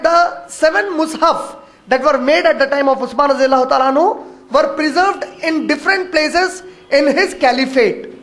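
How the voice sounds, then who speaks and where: A man with a strikingly high voice delivers a religious lecture as a Quran teacher, his Urdu. He keeps a measured, deliberate pace for the teaching but speeds up through honorific phrases. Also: a bit bright. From India.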